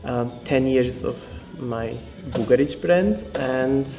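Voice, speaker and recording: A man in his late thirties from Serbia, speaking English, telling his story in a relaxed, thoughtful interview manner. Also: medium pitch, slow pace, faint music behind. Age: 30s. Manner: thoughtful, lightly laughing, hesitant fillers. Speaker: fashion designer guest.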